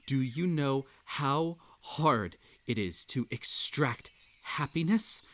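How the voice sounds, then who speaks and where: A man playing an obsessive, unhinged magical hare hosting a tea party in a roleplay audio, with a theatrical, halting delivery that swings between sweet coaxing and menace. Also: medium pitch, animated, a bit bright. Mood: manic delight. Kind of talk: roleplay audio acting, intimate second-person address.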